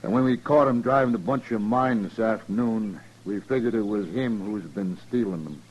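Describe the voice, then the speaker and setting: Actor as low-voiced, callous western rancher. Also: male voice, moderate pace, darkish tone, lo-fi sound, natural voice. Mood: sheepish, explaining. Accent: American. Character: evasive, callous male rancher confessing to a lynching. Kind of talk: 1950s radio western acting.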